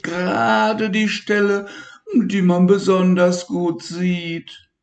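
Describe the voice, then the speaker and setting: A man's voice: high-pitched, flat delivery, slow pace, creaky, dull audio, pitched above the performer's own voice, full character voice. A weepy, fretful little patchwork elephant, put on by a man reading a storybook, his voice pushed far up above the reader's own. He whimpers and stammers, and the words ring a little in an echoey room. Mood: distraught.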